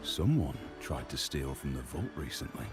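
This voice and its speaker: An actor playing an easygoing, boastful senior gangster agent in a video game, patronizing but friendly to newcomers. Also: male voice, rumbling low, big pitch swings, normal speed, heavily acted. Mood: jovial, encouraging. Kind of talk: video game character acting. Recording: game music underneath.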